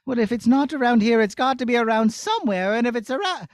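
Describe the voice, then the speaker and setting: A man voicing an earnest, excitable young princess in a children's puppet show, melodramatic and breathlessly exclaiming. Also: very high voice, fast talker, no echo, clean studio sound. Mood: determined.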